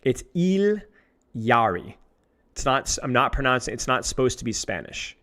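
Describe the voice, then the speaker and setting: A man's voice: medium pitch, big pitch swings, normal speed, pushed and bright. A man streaming live, chatting casually to his viewers in an exasperated, self-mocking, rambling way.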